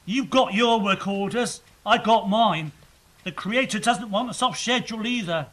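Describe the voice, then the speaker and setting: An actress playing a brisk, unimpressed celestial worker with casual bluntness in a stage drama. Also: female voice, mid-range voice, fairly bright tone, close to an everyday voice. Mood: blunt, impatient. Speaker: stage actor. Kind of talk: theatrical stage drama acting.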